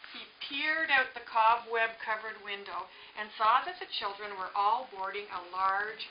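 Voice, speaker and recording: A woman's voice: medium pitch, measured pace. A woman teacher reading a picture book aloud to young children, explaining simply and checking in with short questions. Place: echoing room with a small group of children. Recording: echoey, distant, muffled, noisy room recording.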